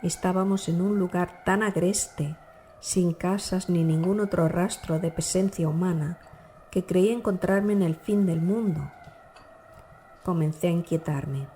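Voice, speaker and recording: A woman narrating a dark classic novel as an audiobook, in a measured, formal storytelling manner. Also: lowish voice, steady tone, slight background noise.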